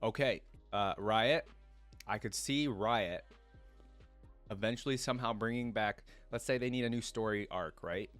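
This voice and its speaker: Casting: young male reaction host, lowish voice, thinking out loud in loose, informal commentary. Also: moderate pace, soft background music. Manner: informal, musing aloud.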